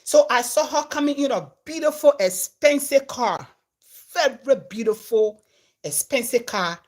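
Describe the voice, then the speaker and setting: A woman with a Liberian accent tells a story to her followers. Her voice sits a bit high and swings from loud bursts to hushed asides. She talks in a casual, animated way. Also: measured pace. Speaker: comedian and content creator.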